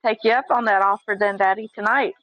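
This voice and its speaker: A woman's voice: mid-range voice, fast talker, a bit bright, dry recording, rough recording. A woman in her thirties in a casual, flirty chat, teasing and full of pet names. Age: 30s.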